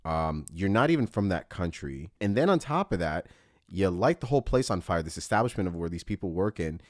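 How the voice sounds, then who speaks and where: A man's voice: low-pitched, big pitch swings, fairly quick, no room sound, clean studio sound. A man from Costa Rica hosting a casual film podcast, chatting animatedly about movies. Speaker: podcast host.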